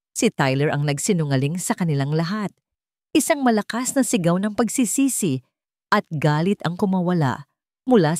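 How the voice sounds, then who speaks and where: A woman's voice: sits low, darkish tone, dry recording, studio quality. A woman with a Filipino accent narrating a scripted dramatic story.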